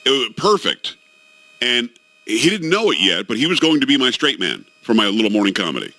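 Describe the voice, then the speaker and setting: A man's voice: medium pitch, fairly quick, dry recording. A middle-aged man hosting a radio talk show, telling jokey stories in casual banter with his co-hosts. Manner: animated storytelling, jokey.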